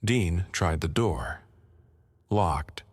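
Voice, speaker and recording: Low-voiced man narrating a horror story. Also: animated, extremely slow, husky. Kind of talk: scripted narration of a first-person creepypasta.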